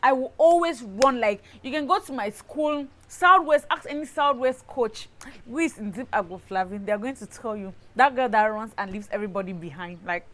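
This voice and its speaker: A woman with a Cameroonian accent telling a personal story in a casual vlog style, animated and emphatic, often checking in with the listener. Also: on the high side, moderate pace. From Cameroon, southwest region. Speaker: lifestyle YouTuber, host.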